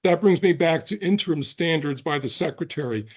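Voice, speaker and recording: Man, hoarse, slightly high voice, earnest, deliberate, clears throat, public comment. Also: animated. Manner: earnest, deliberate, reads technical points with pauses and throat clearing. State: hoarse, losing his voice. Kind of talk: prepared public comment at a hearing.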